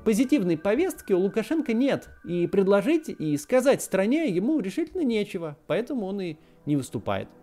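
Animated sardonic man commenting on politics. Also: sits high, fairly quick, few pauses, soft background music. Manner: ironic, sardonic asides. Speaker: political video host.

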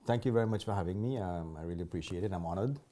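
A man's voice: sits low, very fast, mellow. A man in his fifties from Trinidad, with a Trinidadian accent, chatting politely as a guest in an interview. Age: 50s. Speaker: podcast guest, martial artist. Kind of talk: casual interview chat.

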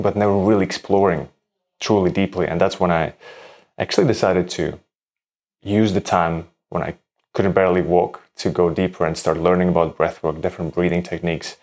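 A man from Latvia in his thirties, a breathwork teacher answering interview questions in a calm, reflective way with slightly halting phrasing. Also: low-pitched.

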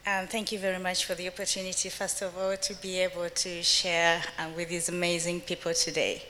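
A woman from Uganda, middle-aged, addresses a church gathering about raising a family. Her voice sits low, and she speaks earnestly, laughs often and quotes scripture. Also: completely flat delivery, fairly quick, sharp tone, slight background noise.

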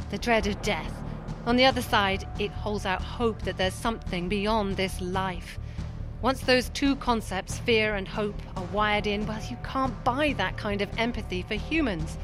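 An actress as a cynical woman doctor in a radio drama, with music clearly behind her. Her mid-pitched voice is pointed and argumentative, that of a disillusioned believer who will not back down. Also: lightly acted. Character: defiant, cynical female doctor, disillusioned former army chaplain.

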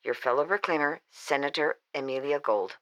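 A woman playing a gracious, earnest senator who reads a letter in a formal, measured way for an audio drama. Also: deep voice, natural voice. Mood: gracious, pleased.

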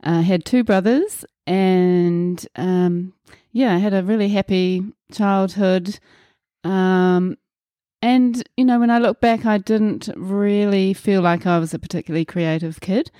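A woman's voice: mid-range voice, measured pace, dark tone, dry recording, studio quality. A New Zealand woman in her forties, a podcast host talking casually and reflectively about her life, with many fillers. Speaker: podcast host being interviewed. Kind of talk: casual conversational interview.

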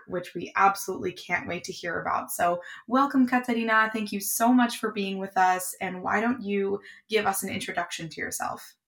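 A woman interviews a guest as podcast host, her voice sitting at an average female pitch. She speaks effusively and encouragingly, framing her questions in full, welcoming phrases. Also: fairly bright tone.

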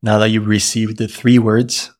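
A man leading a guided meditation, giving slow, soothing, repetitive instructions with long pauses. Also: fairly low pitch, monotone, darkish tone.

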